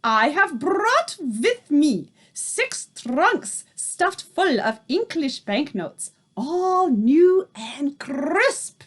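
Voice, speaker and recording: A woman reading aloud, voicing the tiny Grand High Witch, a furious and commanding female witch leader who shouts and speaks in odd broken grammar. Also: big pitch swings, extremely slow, few pauses, exaggerated voice. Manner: shouting, shrieking, odd foreign-sounding grammar.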